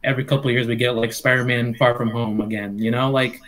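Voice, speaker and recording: A man's voice: medium pitch, fairly even intonation, quick pace, fairly bright tone, low-quality recording. A young man chatting casually with lots of filler words, on a headset webcam call from his bedroom. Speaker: podcast co-host. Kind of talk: casual chat.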